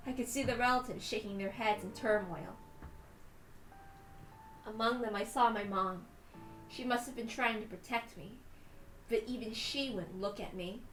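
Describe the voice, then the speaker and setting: A woman's voice: fairly high pitch, normal speed, long pauses, fairly bright tone, roomy sound, low-quality recording. A woman reading a visual novel aloud as a dramatic first-person narration. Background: background music.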